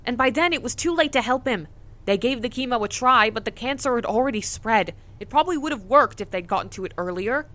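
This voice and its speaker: A woman's voice: fairly high pitch, animated, fairly quick, a bit bright. A woman playing a caring, bossy, teasing sister and mother in a scripted comic dub. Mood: worried, insistent. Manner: fussing, playful, insistent.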